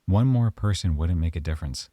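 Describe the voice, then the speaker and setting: A man performing a calm, softly stern professor in an ASMR roleplay monologue, speaking close to the mic. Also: sits low, fairly quick, no echo.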